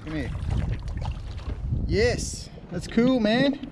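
Laid-back Australian bloke fishing from a kayak. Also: male voice, sits high, extremely slow, noisy background. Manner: relaxed, mutters to himself, laid-back slang. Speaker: fishing vlogger. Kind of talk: casual running commentary while fishing. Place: on a kayak out at sea, outdoors. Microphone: action camera mounted on the kayak. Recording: music playing under the voice. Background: music over the talk.

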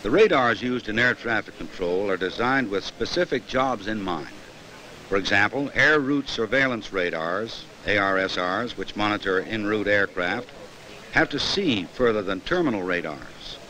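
An American man narrating a 1960s educational film in a measured, formal, explanatory way from a script. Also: lowish voice, lively intonation, bright, forceful tone, poor audio. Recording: hissy old 1960s film soundtrack.

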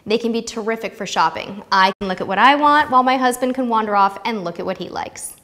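A woman's voice: fairly high pitch, quick pace, bright, forceful tone, big-room sound. An American woman in her thirties presenting scripted tips to camera in a light-hearted, playful way on a lapel mic. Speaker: host of a travel tips video series.

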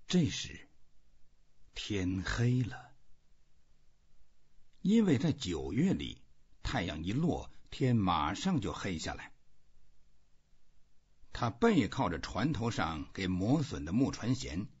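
A man reading literary narration in a husky, measured, expressive storytelling voice. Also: fairly low pitch, dull audio. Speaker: audiobook reader.

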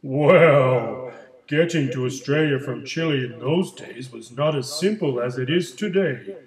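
A man playing an older male Chilean migrant who tells his story in a plain, steady way, in a school project role-play interview. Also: medium pitch, a little slow, reverberant, a crowd in the background, lightly acted, low-quality recording. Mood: calm.

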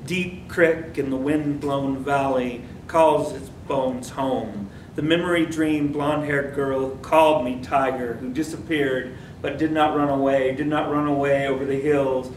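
Male poet at open mic, measured recitation, distant mic, reverberant room. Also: fairly even intonation. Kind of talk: poetry reading. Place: library meeting room, seated. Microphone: stand mic, far camera. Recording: echoey room, distant mic, faint rumble.

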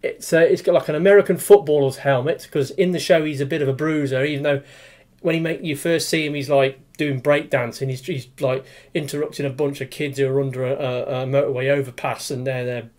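A man's voice: medium pitch, steady tone, fairly quick, darkish tone. A man, casually reviewing a toy in a small room, rambling with lots of fillers and restarts. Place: small room with display cabinet, indoors.

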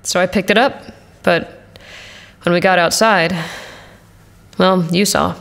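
An actress playing a tough, exasperated war-robot pilot in an audio drama, assertive and expressive. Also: female voice, on the low side, takes it slowly, vocal fry, heavily acted. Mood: weary recounting.

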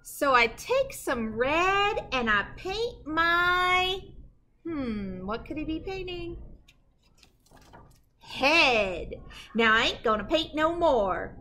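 A middle-aged woman reading a picture book aloud to children as a librarian, with animated, dramatic emphasis and a sing-song delivery. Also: sits high, drawn-out words. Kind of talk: expressive children's read-aloud. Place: indoor library with bookshelves.

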